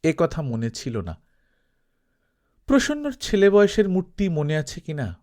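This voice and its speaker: A man narrating a literary story from a script as an audiobook reader, in an expressive storytelling style. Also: a little high, unhurried.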